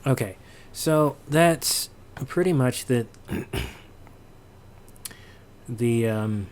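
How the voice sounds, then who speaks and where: A man's voice: medium pitch, extremely slow. A man presenting a casual sound design walkthrough, hesitant and thinking aloud as he goes. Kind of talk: casual screen-recorded walkthrough.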